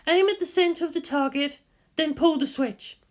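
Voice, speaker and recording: A woman's voice: sits high, moderate pace, pitched above the performer's own voice, lightly acted. A narrator voicing a stern, easily irritated woman scientist who teaches in a clipped, commanding way, in an audio story. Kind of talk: amateur fan fiction reading with character voices.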